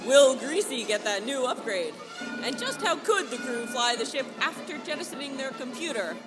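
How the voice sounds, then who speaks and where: A woman's voice: high voice, lively intonation, moderate pace, low-quality recording. A woman narrating emphatically and mock-dramatically in the style of a 1940s radio serial announcer. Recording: live convention stage, loud music and crowd.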